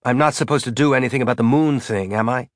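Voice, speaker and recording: A man's voice: medium pitch, fast, no room sound, clean studio sound, close to an everyday voice. Worn-out male captain, harried, distracted, voiced by a man. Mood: weary. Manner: weary, distracted.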